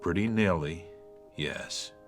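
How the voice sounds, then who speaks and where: An actor playing an aging small-town reverend, reflective and self-doubting, speaking pensively with wry hesitations in a literary radio drama. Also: male voice, deep voice, slow pace, soft background music. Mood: wry, melancholy.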